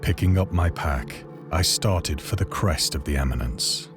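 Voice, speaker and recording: A man playing a haunted castaway, narrating a horror tale in a measured, solemn, literary manner for a game. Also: a bass voice. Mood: uneasy, solemn. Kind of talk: scripted dramatic narration of a horror story. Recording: loud music under the voice. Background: loud music.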